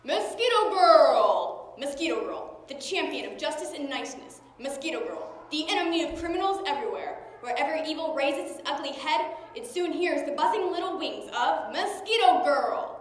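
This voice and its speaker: A woman's voice: high-pitched, lively intonation, normal speed, few pauses. A woman playing a theatrical, declamatory announcer who narrates an amateur radio serial. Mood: dramatic. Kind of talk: scripted radio drama narration. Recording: echoey hall, long reverb.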